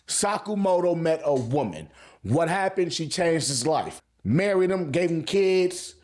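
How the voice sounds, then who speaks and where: A man's voice: fairly high pitch, expressive, unhurried, darkish tone. A man giving casual, slangy video commentary as an anime reviewer, swearing freely and repeating phrases for emphasis.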